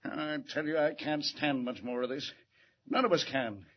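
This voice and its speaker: An actor playing an anxious, gruff middle-aged male police commissioner in 1940s radio acting. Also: on the high side, moderate pace, close to an everyday voice. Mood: worried. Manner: gruff, worried, urgent. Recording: muffled vintage radio broadcast.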